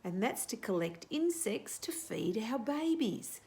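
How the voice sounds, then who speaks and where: A woman's voice: fairly high pitch, very animated, unhurried, vocal fry, dark tone, exaggerated voice. A woman voicing tiny, busy worker ants in a storybook, plain-spoken and dutiful.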